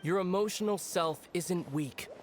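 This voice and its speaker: A man voicing a calm, perceptive companion in a dramatic game scene. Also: high-pitched, measured pace, soft background music. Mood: reassuring.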